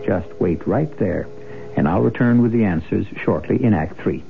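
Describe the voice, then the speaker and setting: A man hosting and narrating an old-time radio drama in a measured, literary, dramatic way. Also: on the low side, creaky. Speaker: host and narrator. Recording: muffled, band-limited old radio recording. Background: music.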